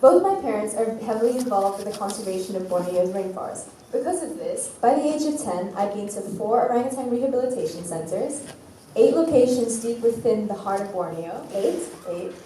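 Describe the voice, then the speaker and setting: A woman's voice: medium pitch, animated, fairly quick, dark tone, a little hiss or hum. A teenage girl giving a rehearsed student presentation at a conference. She speaks earnestly and sometimes stumbles and corrects herself. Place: conference hall. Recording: roomy hall echo.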